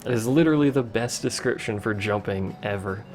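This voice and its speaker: A man's voice: lowish voice, brisk, a little mellow, faint music behind. A young man casually commenting while playing a game on a livestream, answering chat with wry asides. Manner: chatty, reads chat questions aloud, wry asides. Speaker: let's play streamer.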